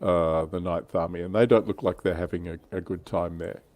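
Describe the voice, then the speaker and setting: A man's voice: sits low, fairly even intonation, brisk, darkish tone. A man hosting a casual hobby livestream, chatting in an easy conversational way. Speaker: livestream host.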